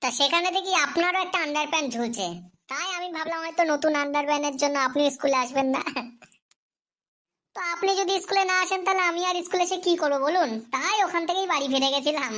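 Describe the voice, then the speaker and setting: Squeaky, fast, bright cartoon schoolboy. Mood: cheeky. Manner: cheeky, playful backtalk. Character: cheeky, silly schoolboy with literal-minded comebacks. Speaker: pupil answering a teacher. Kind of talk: comedy cartoon dialogue.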